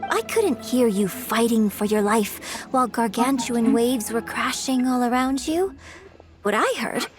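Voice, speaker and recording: A woman voices a high-pitched, poised young female performer in a video game, coaching like a stage director. She is articulate and theatrical, exacting yet encouraging. Music plays behind her. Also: a little slow, close to an everyday voice. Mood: exacting, critical.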